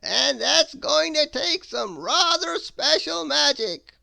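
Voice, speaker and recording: A reader voicing a wise old male wizard in a children's story, with grand, theatrical, drawn-out exclamations. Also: sits high, animated, gravelly, bright, forceful tone, dry recording, clean studio sound, pitched above the performer's own voice, exaggerated voice. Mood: brisk, grand. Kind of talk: storybook read-aloud character voice.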